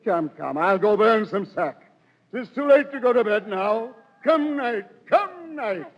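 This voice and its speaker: An actor playing a jolly, roguish, boozy older knight in a 1930s radio Shakespeare comedy. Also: male voice, sits high, big pitch swings, unhurried, heavily acted. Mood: boozy jollity. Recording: muffled old 1930s radio broadcast.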